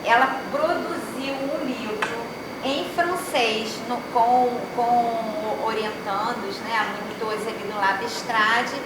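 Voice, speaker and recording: High-voiced Brazilian woman in echoey room. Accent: Brazilian Portuguese. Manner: rambling, full of restarts and tag questions. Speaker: panelist at an academic roundtable. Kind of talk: casual chat among colleagues. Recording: very echoey room with background noise.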